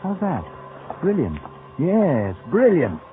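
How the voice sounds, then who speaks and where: A man's voice: on the high side, wildly swooping pitch, slow pace, exaggerated voice. An actor playing a sly, taunting narrator in a 1940s radio mystery, speaking in a sardonic, insinuating way as if he were a fugitive's own thoughts. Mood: scheming, pleased. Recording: tinny vintage radio recording with loud music.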